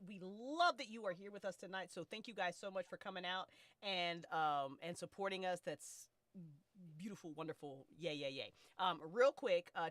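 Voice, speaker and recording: A woman hosting a casual livestream, excitable and hyping, with slangy talk. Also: on the low side, dry recording. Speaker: podcast host, writer-director.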